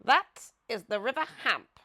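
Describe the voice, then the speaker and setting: A woman's voice: a little high, animated, measured pace, sharp tone, voice pushed up, full character voice. A woman voicing a grand, know-it-all but generous male Duke in a storybook, with a pompous, declamatory manner. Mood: proud, emphatic.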